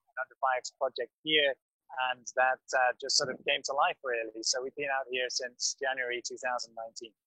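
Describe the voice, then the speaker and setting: A man, a pediatric doctor, telling his story in a podcast interview in a calm, measured way, with some fillers. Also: mid-range voice, dry recording, poor audio. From grew up in West Africa. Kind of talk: podcast interview, recounting experience.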